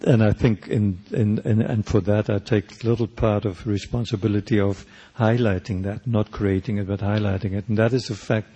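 An older man from South Africa whose first language is Afrikaans, with a South African accent, answering interview questions in a thoughtful, halting way with many restarts. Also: lowish voice, steady tone, dark tone, slightly rough, muffled recording. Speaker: poet and painter, interview guest.

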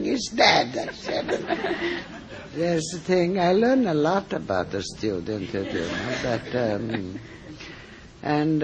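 An older man with an Italian accent, a cooking teacher telling stories in an interview, halting and full of fillers and restarts. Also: a little high, background noise. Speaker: cooking school teacher, guest. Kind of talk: interview answers, storytelling. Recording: slightly dull, muffled recording.

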